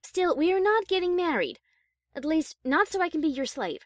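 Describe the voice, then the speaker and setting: A woman voicing a prim, haughty high-class pony in an audio story, speaking with refined diction and dry formality. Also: sing-song, normal speed, no room sound. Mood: teasing, commanding. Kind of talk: scripted audio story character acting.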